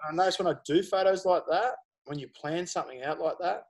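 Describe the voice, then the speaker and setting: A man's voice: high-pitched, quick pace. Man in his thirties, rambling. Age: 30s. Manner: relaxed, rambling, lots of fillers. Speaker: guest photographer. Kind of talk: casual podcast chat.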